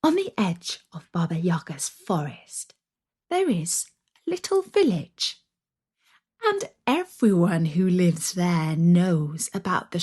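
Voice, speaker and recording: A woman narrating a scripted children's story in a dramatic, deliberate way, with breathy delivery and suspenseful pauses. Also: on the low side, darkish tone.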